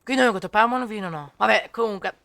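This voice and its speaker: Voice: medium pitch, fast, gravelly, bright, forceful tone. A streamer giving casual, chatty, explanatory gameplay commentary.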